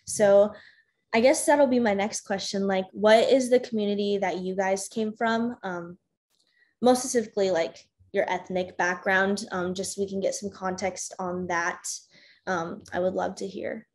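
A young American woman hosting a casual video-call discussion, talking with many fillers and soft laughs. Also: medium pitch, fairly even intonation, moderate pace. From United States. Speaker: discussion host. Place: home room with bookshelves. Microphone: webcam on a video call.

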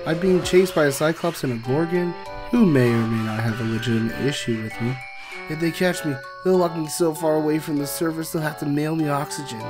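A man plays a sardonic cartoon pony stallion on the run. He talks in wry, theatrical asides and pokes fun at himself, with music clearly playing underneath. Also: medium pitch, lightly acted. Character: sardonic, self-deprecating adult male pony stallion on the run. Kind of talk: animated comic dub acting.

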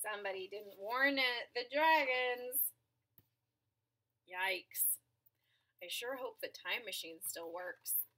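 A young adult woman reading a picture book aloud to children in an expressive, dramatic and playful way. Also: medium pitch, takes it slowly, long pauses. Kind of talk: animated children's read-aloud. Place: small furnished room at home.

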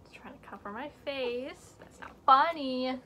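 A high-pitched teenage girl chats and jokes through her home vlog, dropping to hushed whispers as she holds things up to the camera. She is recorded close on a built-in computer mic, with faint background noise. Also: measured pace. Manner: chatty, joking, self-mocking asides. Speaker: vlog host. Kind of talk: casual chat. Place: indoor home room with shelves.

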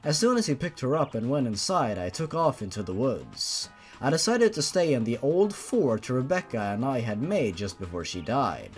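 Male narrator, theatrical story reading, very lively swooping intonation, music in the background. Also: mid-range voice, moderate pace. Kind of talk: dramatic reading of online horror fan fiction. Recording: music behind the voice.

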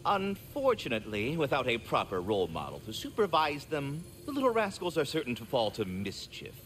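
A man's voice: mid-range voice, huge pitch swings, brisk, a bit bright, slight background noise, low-quality recording. An actor voicing a courteous, wordy, fatherly giant squirrel with flowery formal speech in a video game. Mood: earnest. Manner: verbose, formal, flowery diction. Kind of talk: theatrical video game acting.

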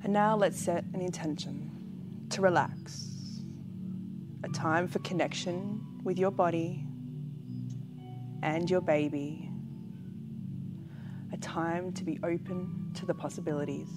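A woman with a fairly low voice guides a relaxation meditation. She speaks gently and calmly, taking her time and pausing often between short phrases. Music plays clearly behind her. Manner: gentle, calm, unhurried with long pauses. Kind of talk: guided pregnancy relaxation meditation, spoken slowly and soothingly. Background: background music.

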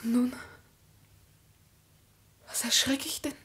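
Casting: fearful young sweetheart, high and husky-soft, tremulous vintage radio play delivery. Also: female voice, steady tone, slow, heavily acted. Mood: anxious. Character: anxious, innocent young middle-class girl in love. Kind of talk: 1950s German radio play acting.

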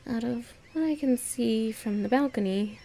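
Woman playing anxious, klutzy young heroine of an audio roleplay: halting self-talk, soft mellow tone, crackly fry. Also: a little high. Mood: reasoning, planning. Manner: breathy, halting, murmuring to herself. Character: clumsy, anxious young human woman musing to herself. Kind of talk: audio roleplay monologue, thinking aloud.

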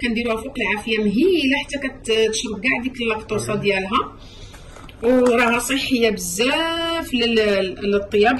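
A woman with a Moroccan Arabic accent explains her ingredients and talks straight to the viewers. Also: a little high. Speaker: cooking vlogger. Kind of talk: casual cooking explanation. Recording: distant mic with faint room noise.

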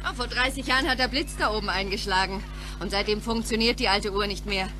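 A woman's voice: medium pitch, fast, noisy background, natural voice. An actress playing a zealous female campaigner in a German radio play, proclaiming her cause insistently.

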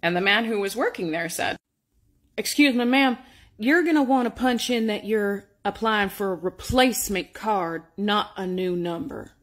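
A woman's voice: medium pitch, normal speed. A young woman from Canada tells an incredulous storytime into a phone at arm's length. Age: young adult. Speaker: TikTok storyteller. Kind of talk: storytime to camera.

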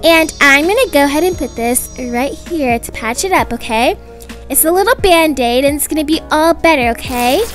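A young woman acting out a children's roleplay story in a gentle, reassuring, sing-song way. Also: high voice, animated, moderate pace, faint music behind. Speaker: video creator voicing characters.

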